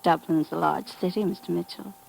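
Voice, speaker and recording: Cool, guarded thriller heroine. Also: female voice, fairly low pitch, fairly even intonation, fairly quick, creaky, darkish tone, slight background noise, low-quality recording. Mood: cool, evasive. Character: guarded, composed young woman in a spy thriller. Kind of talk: 1950s radio drama acting.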